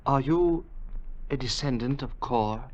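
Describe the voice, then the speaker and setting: An actor playing a jumpy, blunt younger adventurer in excitable 1940s radio style. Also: male voice, medium pitch, a little slow, lo-fi sound, lightly acted. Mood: startled. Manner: plain-spoken, excitable. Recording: noisy vintage radio recording.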